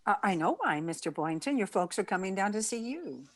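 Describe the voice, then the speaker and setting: An actress playing a wry, flustered schoolteacher woman in an old-time radio comedy, halting and theatrical. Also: medium pitch, very animated, lightly acted. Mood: flustered, apologetic.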